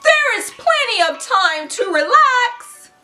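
A cocky storybook hare, put on by a woman, bragging in a very high, swooping voice. The taunts are exaggerated and sing-song, bright and full of swagger. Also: sing-song, slow pace, faint music behind, full character voice. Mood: taunting. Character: boastful, speedy male hare, taunting and cocky. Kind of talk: children's storybook read-aloud.

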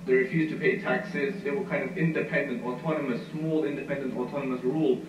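A man giving a history lecture, telling the story at an unhurried storyteller's pace with frequent "uh" fillers. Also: medium pitch, steady tone. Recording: muffled, roomy, far from mic, noisy.

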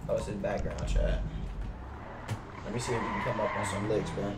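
A man's voice: on the low side, flat delivery, a little fast, echoey room, far from the mic, very noisy. A young man streaming casual game roleplay on a headset, talking in slangy street-hustler style. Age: young adult. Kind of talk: casual in-character GTA roleplay chat. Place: indoors at a gaming setup.

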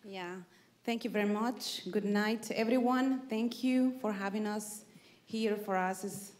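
A woman from Honduras with a Spanish accent, speaking English as a panelist on stage, halting and searching for words. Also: medium pitch, a little mellow. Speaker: panelist, peacebuilder. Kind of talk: panel answer. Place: large theater stage. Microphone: handheld mic. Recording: roomy theater sound.

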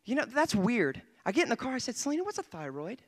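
A young man, a guest preacher, giving an excitable testimony in church on a handheld mic, often laughing and swinging between quiet asides and loud bursts. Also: very high voice, fast talker. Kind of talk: testimony sermon. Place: church stage before a congregation. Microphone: handheld microphone.

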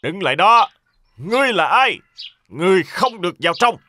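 A man's voice: high voice, sing-song, moderate pace, bright, forceful tone, faint music behind, exaggerated voice. A man voicing a stern, suspicious palace guard with a commanding manner in a children's fairy-tale cartoon.

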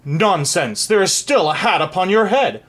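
A man voicing an imperious, hot-tempered king from a children's storybook, loud and demanding. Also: fairly high pitch, big pitch swings, moderate pace. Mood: furious.

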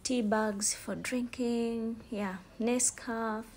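Young Ugandan woman, casual and halting. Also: fairly high pitch, fairly even intonation, a little mellow. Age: young adult. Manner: informal, halting, repetitive explaining. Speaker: vlogger, student. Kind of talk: casual shopping vlog narration. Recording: store ambience noise, handheld phone. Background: faint ambient noise.

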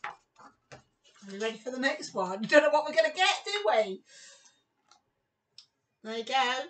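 A woman in her fifties giving an expressive storytime read-aloud for young children, with exclamations and chatty asides. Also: high-pitched, unhurried, recorded from a distance. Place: small room at home, indoors.

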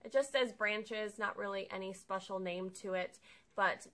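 A woman's voice: mid-range voice, fairly even intonation, moderate pace, a bit bright. A young woman vlogging casually in her living room, chatting upbeat as she explains each item of a shopping haul to the camera. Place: living room with a sofa, indoors.

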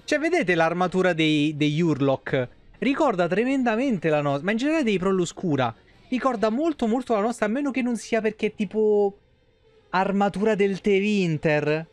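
Higher-voiced man streaming a game. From Italy. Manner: playful, slangy, mock exclamations. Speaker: game livestreamer. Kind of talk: casual live game commentary. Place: small indoor room, streaming setup.

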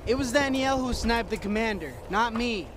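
A man acting a cocky, jittery young wisecracker in a video game drama, excitable and sarcastic. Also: extremely high pitch, a little fast, noisy background. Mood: flippant.

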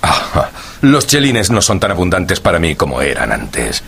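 A man playing a genial, impoverished older gentleman in a radio drama, stout and courteous, with formal, wordy speech and a gravelly, husky voice. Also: deep voice, wildly swooping pitch, unhurried, full character voice. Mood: polite, rueful.

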